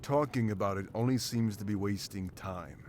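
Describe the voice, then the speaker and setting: A man's voice: lowish voice, normal speed, darkish tone, a little hiss or hum, natural voice. A man playing a guarded, pragmatic rebel companion in a fantasy video game. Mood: impatient.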